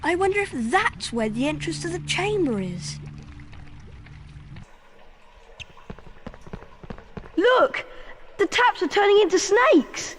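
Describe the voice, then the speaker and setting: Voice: very high-pitched, very animated, moderate pace, fairly bright tone, slight background noise, exaggerated voice. A performer voicing an earnest, plucky schoolboy wizard in a video game, with a British accent. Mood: urgent, curious.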